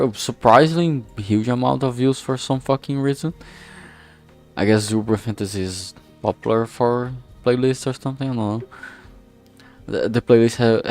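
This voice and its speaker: A man chatting casually on a livestream in a rambling, halting way, swearing now and then, speaking into a filtered streaming microphone. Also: lowish voice, gravelly, a little mellow. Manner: rambling, halting, often losing his thread. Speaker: streamer and amateur game writer. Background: background music.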